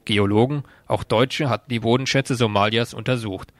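A man's voice: medium pitch, fairly even intonation, normal speed, no room sound. A man working as a radio reporter, reading out a scripted background report.

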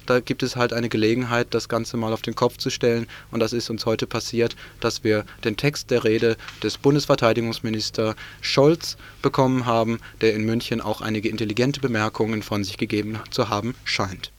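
Man, medium pitch, little intonation, sardonic radio presenter, political commentary. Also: level tone, a little fast, hardly any pauses.

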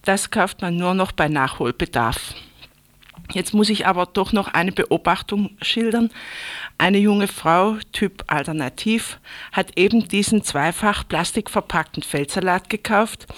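A woman giving a radio market report as a scripted first-person column, chatty and wry in her storytelling. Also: on the low side.

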